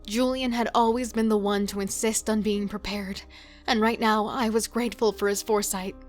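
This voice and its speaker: A woman's voice: medium pitch, steady tone, moderate pace, soft background music, close to an everyday voice. A woman playing a wary, devoted female killer in a horror audio drama, telling and living the story with tense emotion. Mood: grim, tense. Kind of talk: first-person dramatic narration and horror audio drama dialogue.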